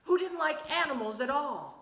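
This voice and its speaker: A woman performing a comic personal monologue on stage, in a halting, conversational way with dramatic pauses. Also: high-pitched, sing-song, a bit bright, slight background noise. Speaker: reader at a live storytelling show. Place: small theatre stage. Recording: echoey live stage recording.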